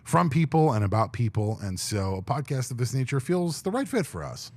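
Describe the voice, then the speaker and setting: Deep-voiced chatty man, lively delivery. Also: brisk, no room sound. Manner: talkative, animated. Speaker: podcast co-host. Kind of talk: casual chat.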